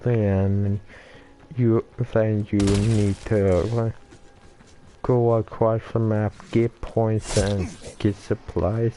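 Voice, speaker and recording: A man giving casual gameplay commentary in a halting, stuttering way, with many restarts and fillers. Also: lowish voice, little pitch movement, mellow, slight background noise, low-quality recording.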